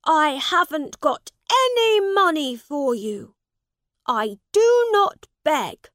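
An indignant young prince from a storybook, voiced by a woman. The voice is high and swoops widely, with very slow, deliberate delivery as he insists on his royal rank. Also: sing-song, a bit bright, no echo, studio quality, heavily acted. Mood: defiant. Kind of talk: storybook character voice.

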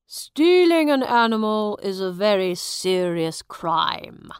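A woman voicing a stern, pompous male judge with a gravelly voice in a storybook. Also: mid-range voice, sing-song, takes it slowly, dry recording, studio quality. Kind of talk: storybook character dialogue.

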